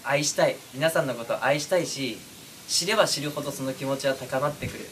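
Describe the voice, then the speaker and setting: A man speaking as a streamer and voice actor, chatting casually and earnestly to his fans in an intimate, pausing way. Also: mid-range voice, a bit bright. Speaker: voice actor and streamer addressing listeners. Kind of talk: casual, heartfelt chat to fans. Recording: distant mic, clearly noisy background.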